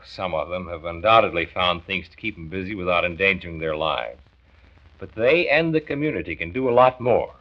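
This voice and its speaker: A man's voice: sits low, animated, brisk. An actor playing an earnest, kindly male county health doctor who explains and persuades in a 1940s radio drama. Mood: concerned, reassuring. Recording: muffled old 1940s radio recording.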